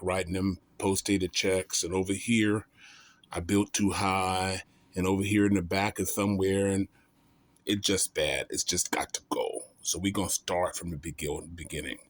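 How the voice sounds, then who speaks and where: A man's voice: low-pitched, no echo. A man vlogging in a casual, comedic storytelling style, rambling and folksy, often making fun of himself. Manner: rambling, self-mocking, folksy.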